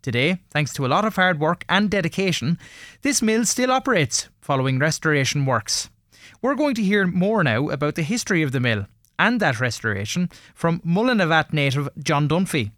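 A man's voice: on the high side, sing-song, normal speed, a bit bright, dry recording, studio quality. A man with an Irish accent presenting a radio programme, reading a scripted introduction as host.

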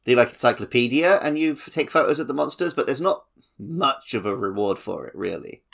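A man's voice: medium pitch, animated, a little fast, vocal fry, a bit bright. A man co-hosting a gaming podcast, talking casually about a video game. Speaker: podcast co-host.

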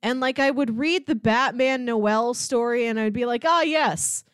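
A woman's voice: a little high, a little slow, clear, resonant tone, no echo, studio quality. A woman co-hosting a movie podcast in casual, conversational talk. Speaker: podcast co-host.

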